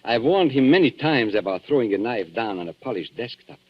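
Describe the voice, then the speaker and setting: Actor as suave, menacing antique dealer, swooping intonation. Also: male voice, mid-range voice, animated, brisk, natural voice. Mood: cool menace. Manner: smooth, formal, sardonic, leisurely politeness. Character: suave, menacing male antique dealer toying with guilty visitors. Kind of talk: 1940s radio thriller acting. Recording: dull 1940s radio broadcast.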